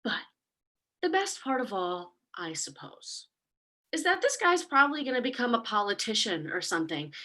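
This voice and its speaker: An actress playing a smug, snarky demon in a comic stage monologue, sarcastic and conversational, boasting about corrupting mortals. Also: female voice, on the high side, sing-song, normal speed, bright, forceful tone, full character voice. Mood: gleeful, scornful. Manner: sarcastic, conversational, casual swearing.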